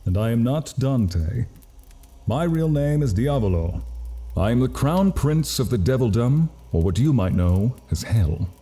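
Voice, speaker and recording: An actor playing a courteous, earnest demon crown prince passing as human in an audio drama. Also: male voice, medium pitch, big pitch swings, measured pace, warm tone. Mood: earnest, revealing.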